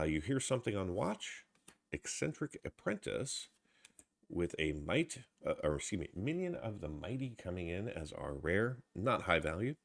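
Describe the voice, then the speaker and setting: A man with a stuffy head cold casually commenting as he opens trading card packs, reading out card names with low energy. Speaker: card box opener.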